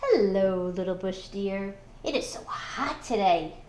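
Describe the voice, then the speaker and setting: A woman's voice: medium pitch, lively intonation, measured pace, darkish tone, roomy sound, slight background noise, pitched below the performer's own voice, lightly acted. A woman voicing a sly, greedy, short-tempered male trickster spider in a storybook, with eager, insistent delivery. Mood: friendly, sly.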